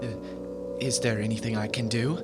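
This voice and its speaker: An actor in a radio drama playing a crotchety, frightened old miser with a theatrical, exclamatory delivery. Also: male voice, lowish voice, flat delivery, moderate pace, natural voice. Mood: uneasy, timid. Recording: loud music behind the voice.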